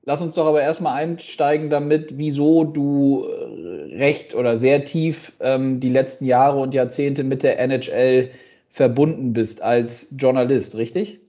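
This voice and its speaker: A man's voice: medium pitch, unhurried, mellow. A man hosting a conversational interview, on a slightly lo-fi recording. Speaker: interviewer, podcast host.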